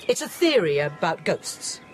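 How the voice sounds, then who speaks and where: A woman playing a rational, patient scientist in an audio drama, explaining things in a measured, thoughtful way. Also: low-pitched, big pitch swings, slight background noise.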